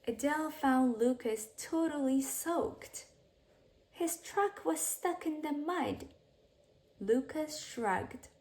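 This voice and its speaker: A woman with a fairly high voice reads a bedtime story for children. She takes it very slowly, in measured phrases. Her delivery is expressive, and her sentences tend to settle into soft, hushed endings. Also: slight background noise. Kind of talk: expressive read-aloud bedtime story narration.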